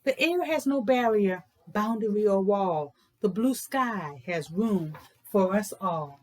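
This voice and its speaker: A woman's voice: medium pitch, expressive, slow pace, a little mellow. A woman reader voicing a brave, eager young female aviator with rousing, declamatory calls. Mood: rousing, inviting.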